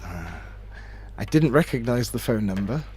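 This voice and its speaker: A man giving conversational live pool commentary in measured phrases, often repeating a word as he thinks. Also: mid-range voice, expressive, a little mellow, slight background noise. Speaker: sports commentator. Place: remote commentary from his own location.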